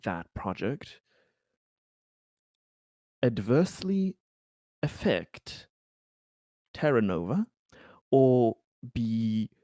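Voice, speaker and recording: A man playing a tabletop roleplaying game, speaking in improvised dialogue with hesitant, deliberate phrasing. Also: mid-range voice, mellow, dry recording, clean studio sound.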